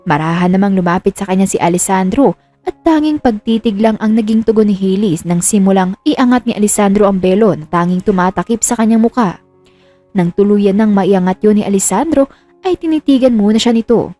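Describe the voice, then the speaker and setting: A woman's voice: medium pitch, brisk, warm tone. A woman reading scripted narration of a romantic drama as the storyteller. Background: music.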